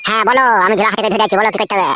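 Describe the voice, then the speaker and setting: A woman's voice: medium pitch, very fast, heavy background noise, lightly acted. A woman voicing a henpecked, whining, foul-mouthed young husband in a comedy cartoon, with a rural West Bengal accent. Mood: grudging. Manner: whining, complaining, coarse-tongued.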